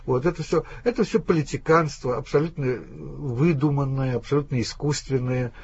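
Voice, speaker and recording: A man guest in a radio interview, explaining his views in a measured way with frequent restarts, from Russia. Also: mid-range voice, darkish tone, slightly rough, no echo. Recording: dull lo-fi radio recording.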